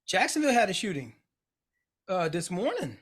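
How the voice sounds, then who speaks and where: A man co-hosting a casual chat, talking bluntly with lots of swearing and animated retelling. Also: high voice, normal speed, slightly rough. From United States. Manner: blunt, profane, animated storytelling. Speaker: podcast co-host.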